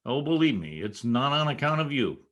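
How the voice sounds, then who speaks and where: A sulky young newspaper reporter played by a man in old-time radio style. His medium-pitched voice is formal and curt, full of stiff pride. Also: sing-song, brisk, fairly bright tone. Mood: awkward, curt.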